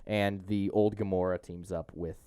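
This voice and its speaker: A man's voice: sits low, fairly even intonation, normal speed, warm tone. A young man chatting casually as a podcast host recapping a movie, enthusiastic and emphatic, with slangy phrasing.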